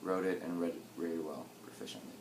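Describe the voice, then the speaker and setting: A young man in his twenties with a very low voice, talking through his answers in a reflective, hesitant way. His voice bounces around a roomy space. Steady background noise sits under it. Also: fast talker, vocal fry. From United States. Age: 20s. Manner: reflective, hesitant, with fillers and restarts. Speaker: interviewee. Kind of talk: casual interview answers.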